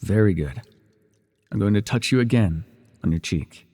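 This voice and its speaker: Breathy, low-voiced teasing villain mentor played by a man. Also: moderate pace. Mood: patient, instructing. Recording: reverberant indoor pool echo.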